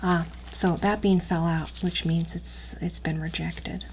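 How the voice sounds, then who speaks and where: A woman speaking softly and often whispering, with a breathy, halting, unhurried delivery, as an instructor in an ASMR training roleplay. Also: fairly low pitch, steady tone, background noise.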